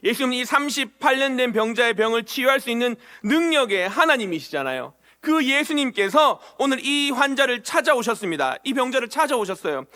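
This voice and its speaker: A high-voiced man gives a sermon to his church, addressing the people directly with question after question. He slips into little acted quotes to bring scenes to life. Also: bright, forceful tone. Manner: asks the congregation questions, acts out short quoted lines. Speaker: pastor. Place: church sanctuary.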